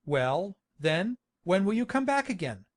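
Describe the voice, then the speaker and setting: A woman voicing a hearty, insistent country gentleman who speaks in a bluff, coaxing way. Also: medium pitch, big pitch swings, a little slow.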